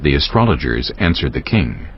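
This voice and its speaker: A man narrating dramatized scripture in a solemn, measured storytelling manner. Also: extremely low pitch, very animated, breathy edge, highs cut off.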